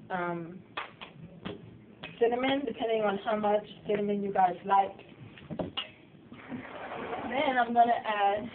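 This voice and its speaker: A slightly hoarse woman chatting loosely through a cooking demonstration in her home kitchen. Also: mid-range voice. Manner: chatty, loose, with fillers and restarts. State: slightly hoarse, getting sick. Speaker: cooking show host. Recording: distant, tinny and noisy home video.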